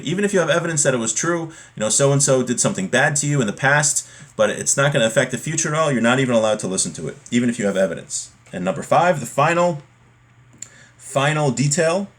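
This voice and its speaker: Man teaching a religious text, explanatory. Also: mid-range voice. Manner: explanatory, repeats and rephrases points. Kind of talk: text-study lesson, reading and explaining.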